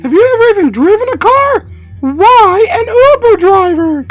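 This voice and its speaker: A woman's voice: extremely high pitch, normal speed, highs cut off, soft background music. A woman voicing an indignant, boastful twelve-year-old schoolboy in a comedy puppet show. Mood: exasperated. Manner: excitable, self-justifying.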